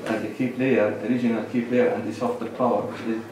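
A man's voice: lowish voice, flat delivery, noisy background. Lebanese man lecturing in an echoey hall. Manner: measured, lists points with pauses. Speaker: conference speaker. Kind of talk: academic presentation. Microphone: lectern microphone, far camera. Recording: echoey hall recorded from far off.

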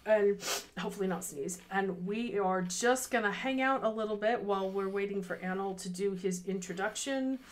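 A chatty woman in her thirties co-hosts a charity livestream from her home, talking into a headset. She rambles and gets distracted easily, her pitch sitting in the middle of the range. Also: moderate pace, a little hiss or hum. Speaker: charity stream co-host. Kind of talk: casual livestream chat. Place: home room with fairy lights behind.

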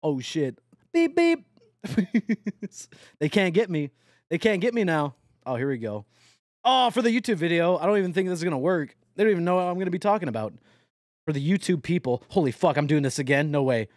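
Excitable young man streaming a truck game. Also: on the high side, a little fast, no room sound, studio quality. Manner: excitable, swears often, yelps and laughs; addresses chat directly. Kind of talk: casual live-stream chatter while playing a truck driving game.

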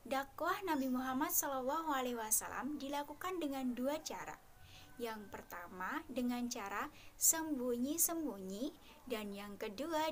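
A young woman teaching a lesson to primary school children, slow and careful, with small fillers. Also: high voice, slight background noise. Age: young adult. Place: dark room, seated before a backdrop.

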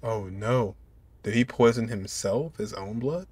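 A man's voice: on the low side, a little slow, a little mellow, slight background noise. A man in his twenties giving casual, slangy reaction commentary on a video game, in a laid-back way. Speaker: gaming content creator. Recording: game music behind the voice.

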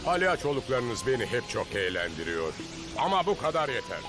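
An actor playing a gloating, pompous villain sorcerer in a Turkish-dubbed action cartoon, taunting theatrically. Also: male voice, medium pitch, very animated, a little fast, close to an everyday voice. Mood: gloating, mocking. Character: gloating male villain sorcerer commanding dark lightning. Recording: loud music over the voice.

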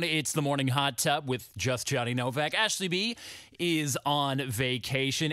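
A man's voice: a little high, sharp tone, dry recording. A man, hosting morning radio in upbeat, emphatic DJ patter, close on a studio mic. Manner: emphatic, with repeated words and drawn-out stresses. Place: small radio studio indoors. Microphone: close studio broadcast microphone.

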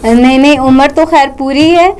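A young woman with a Pakistani accent and a high voice, hosting a puppet comedy. She mediates politely, in the manner of a studio presenter. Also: normal speed, a little mellow, a little hiss or hum. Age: young adult. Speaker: host. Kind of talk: hosting a comic puppet show.

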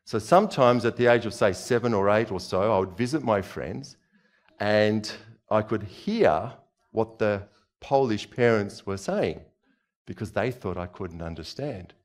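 A man's voice: fairly low pitch, a little slow. A man in his forties, born in Czechoslovakia, preaching a conversational sermon from a church stage on a headset mic, asking the audience questions as he moves about. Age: 40s.

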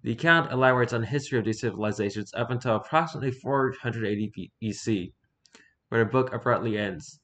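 A man narrates written horror fiction at a middling pitch. He reads in a halting way, tripping on words and going back to correct them. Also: fairly bright tone. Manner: stumbles over words, self-correcting. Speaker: streamer reading horror fiction aloud. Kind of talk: scripted narration read aloud, halting.

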